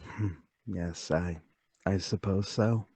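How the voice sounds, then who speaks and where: Man playing a slow, husky, troubled older gentleman. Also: sits low, no echo, exaggerated voice. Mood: subdued, grave. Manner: halting, polite, deliberate. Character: troubled, forgetful, soft-spoken older gentleman, haunted and deliberate. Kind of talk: radio mystery drama table read.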